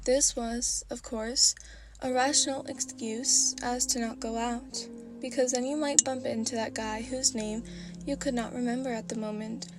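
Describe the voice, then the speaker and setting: A woman reading fan fiction aloud in a sleepy style, deliberately lowering her voice into a deeper register. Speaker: storyteller. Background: music.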